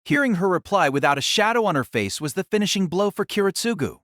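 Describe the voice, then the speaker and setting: Animated male narrator, fairly high voice. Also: fast, no room sound, clean studio sound. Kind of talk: dramatic scripted audiobook narration.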